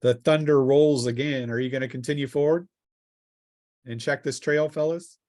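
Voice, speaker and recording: A man casually narrating a tabletop game as game master, often halting to think aloud. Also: medium pitch, steady tone, darkish tone.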